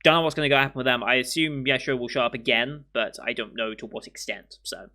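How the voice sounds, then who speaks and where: A man with a worn, hoarse voice talks loosely to his viewers, rambling as he thinks aloud. His tone stays bright and forceful despite the strain. He speaks into a mic in his room at home. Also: mid-range voice, fairly even intonation, fast talker. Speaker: anime reaction channel host. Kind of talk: casual to-camera commentary. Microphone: studio mic in frame.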